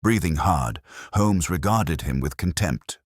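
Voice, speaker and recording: A man narrating a Victorian detective audiobook in a measured, dramatic storytelling manner. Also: low voice, lively intonation, slightly rough, no room sound, studio quality.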